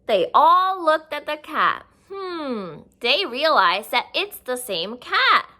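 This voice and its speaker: Bright, slow, high-pitched woman reading to children. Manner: expressive, simple explaining asides to children. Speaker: children's story reader. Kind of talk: read-aloud picture book narration for young children.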